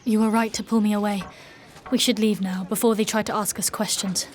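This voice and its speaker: An actress plays a guarded warrior woman from a dark fantasy audio drama. She is solemn and formal, weighing each phrase with measured gravity. Her voice sits at a middle female pitch. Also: flat delivery, slight background noise, lightly acted. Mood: cold, resolute. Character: grave, guarded warrior woman, a knightly mentor figure.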